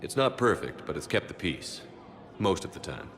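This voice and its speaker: A man playing a steadfast military commander in a video game, speaking in a measured, grave manner. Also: deep voice, sing-song, a bit bright, exaggerated voice. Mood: calm, supportive.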